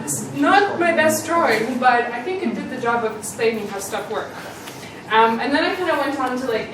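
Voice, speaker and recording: A young woman chats through a meetup talk, self-deprecating and quick to laugh, her voice sitting a little high. She is picked up from across a very echoey room, and steady noise sits under the recording. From Ukraine, raised in Canada. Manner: chatty and self-deprecating, with lots of ums and laughs. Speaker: meetup speaker. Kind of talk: casual conference talk. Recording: echoey room, distant mic, noisy.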